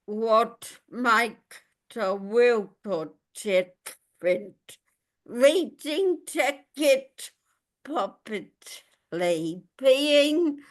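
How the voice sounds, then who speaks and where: Woman reading poetry, extremely slow and halting. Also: on the high side, a bit bright. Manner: halting, short broken phrases with long pauses. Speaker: poet reading own work. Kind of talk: poetry reading, lament addressed to God.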